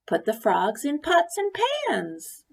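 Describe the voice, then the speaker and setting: A woman's voice: a little high, sing-song, takes it slowly, gravelly, breathy edge, exaggerated voice. A woman voicing eager neighborhood children from a picture book, calling out advice emphatically. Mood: eager, urgent. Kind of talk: picture-book character read aloud.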